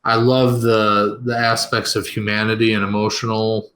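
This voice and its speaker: A man's voice: on the low side, little pitch movement, normal speed, a bit bright, a little hiss or hum. A man co-hosting a casual film review podcast, rambling with plenty of fillers as he works through his notes. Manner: rambling, many fillers and restarts. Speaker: podcast co-host.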